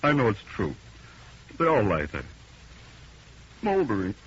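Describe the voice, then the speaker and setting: A man's voice: fairly low pitch, wildly swooping pitch, measured pace, over music. An actor playing an agitated, insistent man haunted by a nightmare, in old-time radio drama style, by turns hesitant and grim. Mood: grimly certain. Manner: hesitant, then grimly insistent. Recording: muffled, faintly noisy vintage radio recording.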